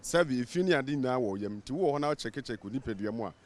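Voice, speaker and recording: A man's voice: medium pitch, animated, quick pace, a little mellow. A man with a Ghanaian accent giving a casual interview answer as a member of the public.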